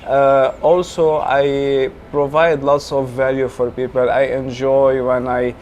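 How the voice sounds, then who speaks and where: A man's voice: medium pitch, little pitch movement, slow, darkish tone, dull audio. A young man with a Lebanese accent, a real estate businessman talking earnestly in a casual interview, with lots of fillers. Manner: frequent fillers, earnest, talkative. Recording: faint ambient noise.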